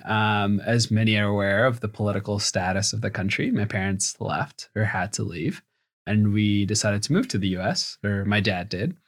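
A man's voice: on the low side, a bit bright. A young adult man from Venezuela, a startup founder and podcast guest, telling his story in a reflective, lightly humorous way. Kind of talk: casual interview.

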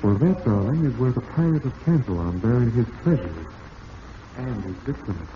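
An actor playing a smooth, scheming husband in a 1940s style radio drama. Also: male voice, mid-range voice, expressive, normal speed, lightly acted. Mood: coldly menacing. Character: smooth, scheming husband who murders his wife. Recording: muffled, noisy old radio recording.

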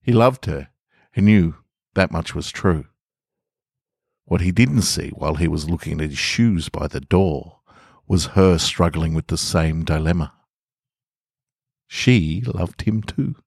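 A man's voice: deep voice, takes it slowly, vocal fry, pauses often, a little mellow, slightly rough, no room sound, clean studio sound. A man hosting a podcast, talking casually and reading short stories aloud as narrator. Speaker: podcast host and author reading own stories.

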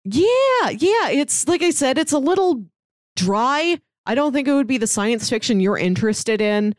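A woman's voice: high voice, very animated, a little slow, no room sound, clean studio sound. An American woman podcast co-host retelling stories in casual, rambling and emphatic conversation. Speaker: podcast co-host discussing comics and books.

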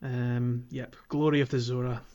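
An easygoing man with a mid-range voice co-hosts a casual game podcast. He agrees readily, chuckles, and fills pauses with ums. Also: mid-range voice, level tone, mellow, muffled recording. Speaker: podcast co-host. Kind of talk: casual chat about a video game.

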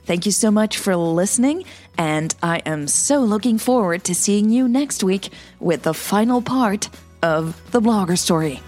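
A woman's voice: medium pitch, animated, a little slow, darkish tone, soft background music. A woman who hosts and narrates a romantic fiction podcast, reading stories and speaking to her listeners.

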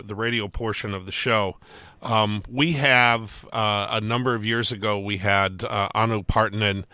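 A man hosting a radio talk show, asking a long, halting question full of ums and restarts. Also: on the low side, bright, forceful tone, smooth, no echo. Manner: halting, rambling question with many fillers.

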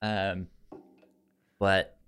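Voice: low-pitched, flat delivery, drawn-out words, fairly bright tone, clean tone. A podcast host talking casually about film in a hesitant way, often stammering and repeating sounds.

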